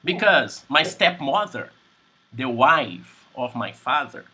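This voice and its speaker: A Brazilian man with a Portuguese accent teaching English on a video call, speaking in slow, simple sentences and repeating words. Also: medium pitch, very animated, bright, forceful tone, slightly rough. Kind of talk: online English class conversation.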